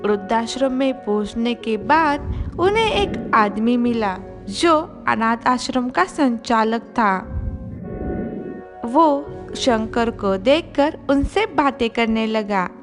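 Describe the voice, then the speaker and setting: A woman's voice: fairly high pitch, expressive, slow. A woman narrator reading a scripted children's moral story. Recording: music behind.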